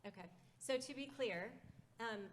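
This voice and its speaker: A woman speaks as a conference panelist, measured and steady, with frequent fillers. A faint background noise sits under her voice. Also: a little high. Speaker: panelist, foundation president.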